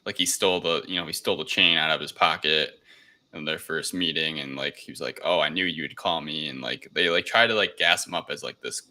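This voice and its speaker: A man's voice: very deep voice, a little fast, pushed and bright. A man chatting casually as a podcast panelist reviewing films, with a hesitant, filler-heavy way of talking. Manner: hesitant, repeating "like" and "you know".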